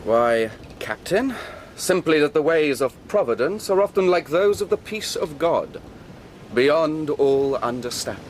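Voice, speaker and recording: A mild, unctuous clergyman in a radio drama. His fairly high voice rises and falls widely in a wordy, deferential manner, over faint ambient noise. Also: lively intonation, measured pace.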